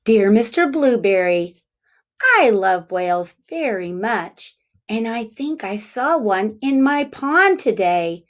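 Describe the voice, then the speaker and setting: Female kindergarten teacher, read-aloud style. Very unhurried, lively swooping intonation, deliberate child-directed delivery with questions. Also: on the high side. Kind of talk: interactive picture-book read-aloud with questions.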